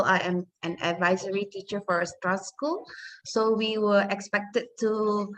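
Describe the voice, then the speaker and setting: Modest teacher introducing herself. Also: female voice, medium pitch, a bit bright, rough recording. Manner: modest, hesitant. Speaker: secondary school teacher. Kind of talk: online meeting self-introduction. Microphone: video call.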